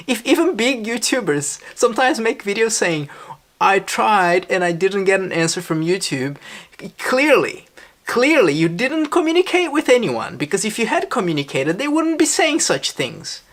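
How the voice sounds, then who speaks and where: A man talks through a reaction video in casual vlog commentary. His pitch swings widely as he drips sarcasm and disbelief. He sounds exasperated and keeps firing back incredulous questions. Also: very animated, measured pace, fairly bright tone. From Brazil. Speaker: reaction vlogger.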